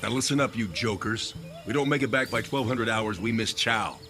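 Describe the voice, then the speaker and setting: Radio drama: a hard-edged sergeant, bright forceful voice, clipped orders. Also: male voice, fairly low pitch, moderate pace, soft background music. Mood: gruff. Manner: barking orders, brusque. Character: gruff army sergeant.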